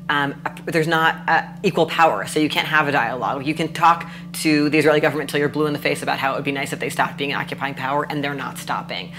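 A young woman with a very deep voice speaks as an activist, laying out arguments emphatically. Music plays behind her. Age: young adult. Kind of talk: explanatory interview talk.

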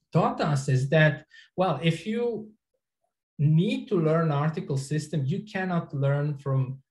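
A man's voice: on the high side, a little mellow. Man lecturing in an echoey room. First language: Serbian or Croatian. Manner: halting, frequent restarts and fillers. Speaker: researcher giving a lecture. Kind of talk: academic talk. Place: indoor office. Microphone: webcam on a video call.

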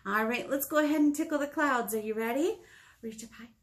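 High-voiced woman talking to young children. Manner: animated, encouraging, asks questions and pauses for answers. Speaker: children's librarian hosting storytime. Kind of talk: children's storytime talk addressed to young viewers. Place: small indoor meeting room.

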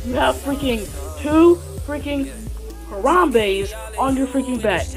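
A fairly high young female voice rants in exasperation, mocking and impatient. Music plays clearly behind her. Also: lively intonation, slow. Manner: exasperated, ranting. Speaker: guest YouTuber. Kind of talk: ranting video clip.

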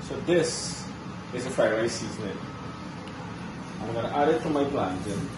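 A man's voice: medium pitch, expressive, moderate pace. A man in his forties with a Guyanese accent, chatting casually as he presents a home cooking vlog. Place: home kitchen, indoors. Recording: noisy kitchen, loud appliance hum.

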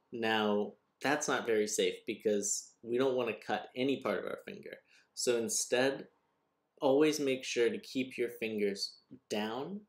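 A young man giving a calm, step-by-step cooking lesson as voice-over. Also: fairly low pitch. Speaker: cooking teacher. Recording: distant echoey room with noise, video call.

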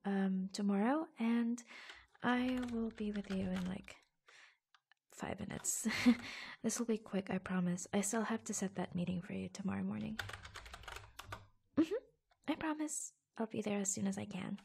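A woman playing an attentive, affectionate office assistant in a soft-spoken ASMR roleplay, breathy and hushed, with gentle hesitant pauses, close to the microphone. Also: medium pitch, a little hiss or hum, lightly acted. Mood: concerned, gentle.

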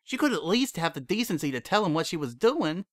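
A man voicing a heartbroken young female pony who speaks in a cold, flat tone that breaks into sobbing, in a dry recording. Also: high voice, brisk, no echo, voice pushed up. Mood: flat, bitter.

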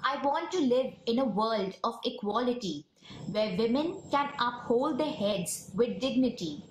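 Young Indian woman reciting, slow and roomy. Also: fairly high pitch, animated. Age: young adult. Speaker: poem presenter. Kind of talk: recited poem. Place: small room at home. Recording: roomy home recording with noise.

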